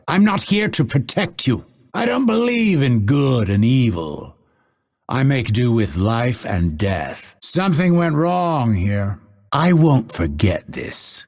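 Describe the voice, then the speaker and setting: Gravelly, proud, sardonic assassin. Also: male voice, lowish voice, a little mellow, heavily acted. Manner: theatrical, clipped, disdainful. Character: cold, proud male assassin, sardonic and impatient. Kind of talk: video game character voice lines.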